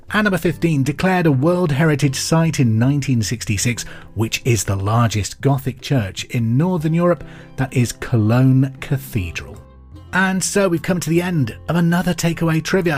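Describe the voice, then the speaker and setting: A middle-aged man hosting a pub quiz podcast, reading out trivia questions and answers in a clear, deliberate way. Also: a little high, animated, a little mellow. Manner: clear deliberate delivery, repeats each question. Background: music bed.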